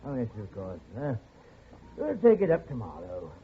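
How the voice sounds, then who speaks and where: An actor playing an old jungle recluse in a radio drama, softly evasive and stubborn, with a hesitant, stammering delivery. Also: male voice, mid-range voice, huge pitch swings, moderate pace, breathy edge, heavily acted. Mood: mildly evasive. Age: older. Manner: stammering, hesitant, mild-mannered. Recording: noisy, tinny vintage radio recording.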